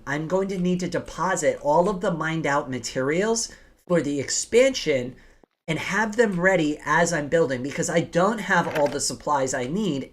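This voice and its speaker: A woman with a rough, slightly hoarse and rattly voice, chatting casually as she hosts a game livestream and talks through her plans. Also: low voice, slight background noise. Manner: chatty, explains plans aloud while playing. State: hoarse from days of coughing. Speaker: livestream host. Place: home office. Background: music.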